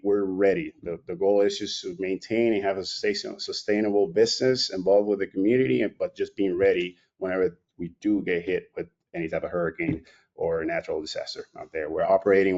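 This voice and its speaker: A man's voice: deep voice, a little mellow, lo-fi sound. A middle-aged man from Puerto Rico who runs a company, giving an informal presentation with many fillers and restarts. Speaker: company president. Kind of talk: presentation at an online meeting. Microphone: video call.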